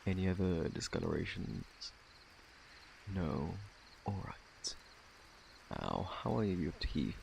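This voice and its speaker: A man with a British accent playing a polite, evasive, faintly sinister plague doctor in an ASMR roleplay, speaking softly in a formal, fussy, self-assured way with a gravelly, partly whispered voice. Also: deep voice, expressive, slow pace, long pauses, a little hiss or hum, exaggerated voice, poor audio. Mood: clinical, hushed. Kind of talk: ASMR roleplay, soft close speech.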